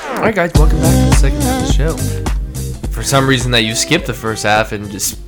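Male co-host, casual comedic banter, animated pitch. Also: fairly quick, over loud music. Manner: loose, joking, swears casually. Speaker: podcast co-host.

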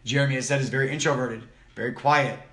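A man co-hosting a casual podcast chat, outgoing and loud, teasing his friend as he talks. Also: medium pitch, fairly even intonation, fast talker. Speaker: podcast co-host. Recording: roomy echo.

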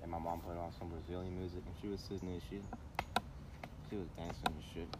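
A young man with a very low voice jokes crudely with friends, circling back and restarting his sentences. He is recorded outdoors, with steady background noise under his voice. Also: fast talker. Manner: crude, joking, rambling with restarts. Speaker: friend in casual chat. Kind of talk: casual chat.

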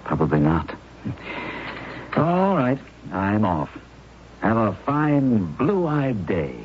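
Warm-hearted doctor husband who teases his wife, lively and lowish, dull old radio audio with faint hiss. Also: extremely slow, full character voice. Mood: teasing. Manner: teasing, affectionate, lively. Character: affectionate, teasing male doctor husband. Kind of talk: 1970s radio drama acting.

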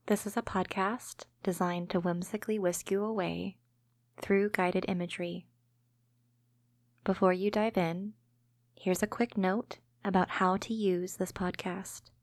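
A woman narrating a scripted guided imagery meditation in a gentle, calming, measured way. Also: medium pitch, no echo, clean studio sound.

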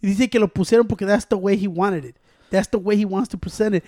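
A man chatting as a podcast co-host, fast and profane, switching between Spanish and English. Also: high voice, a little mellow, no room sound, clean studio sound. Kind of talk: casual chat.

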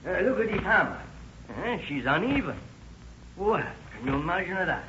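A genial Italian shoemaker in a vintage radio drama. His voice is bright and high, with puzzled exclamations and halting broken English. It comes through a muffled, hissy old recording. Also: big pitch swings, heavily acted. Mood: friendly, puzzled. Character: genial, puzzled immigrant neighborhood cobbler. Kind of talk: 1930s radio drama acting.

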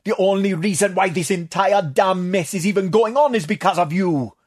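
A man voicing a furious fish-like male creature who scolds bluntly in a high, strained voice. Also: moderate pace, faint music behind.

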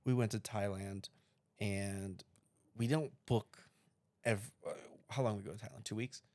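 Gravelly low-voiced man in his thirties. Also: measured pace, dry recording. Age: 30s. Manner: relaxed, rambling with restarts. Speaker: podcast co-host. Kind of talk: casual chat.

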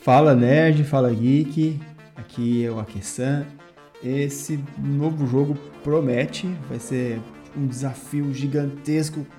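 A man player introducing his character in a relaxed, joking way. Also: medium pitch, slow. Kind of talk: casual scripted introduction. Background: faint music.

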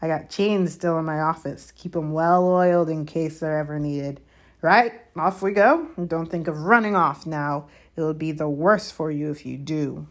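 A woman's voice: low voice, moderate pace, lightly acted. A woman voicing a spiteful, gloating male caretaker who speaks in a sneering, menacing, colloquial way. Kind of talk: audiobook character voice.

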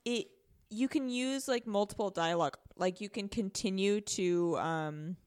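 A woman's voice: medium pitch, unhurried, darkish tone, smooth, no echo. A woman guest on a casual podcast, talking reflectively and informally about a game and voicing her own thoughts.

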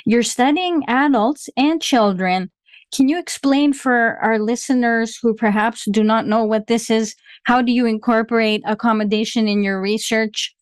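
Woman podcast host, fairly high voice, enthusiastic and encouraging, dry close sound. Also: normal speed, clean tone, no echo. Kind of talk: interview podcast.

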